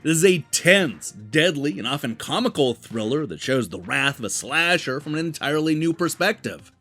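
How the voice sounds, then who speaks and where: Animated man reviewing games over music. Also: a little high, normal speed. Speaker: video game reviewer and show host. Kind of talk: scripted review narration. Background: background music.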